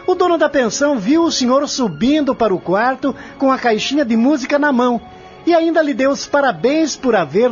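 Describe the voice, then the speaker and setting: Guy playing a formal relentless prosecutor in a radio play, high pitched, very lively delivery, muffled with music under it. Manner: formal, articulate, declamatory courtroom delivery. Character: confident prosecuting lawyer, relentless, methodical, formal courtroom orator. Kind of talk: audio drama acting, courtroom scene. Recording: dull lo-fi audio with music underneath.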